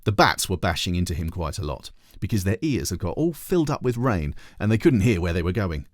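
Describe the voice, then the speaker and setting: A man with a lowish voice reads a children's storybook aloud. His delivery is expressive and very animated, leaning on words for dramatic effect. The sound is dry, with little room around it. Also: a little fast, no room sound. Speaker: teacher reading aloud to children.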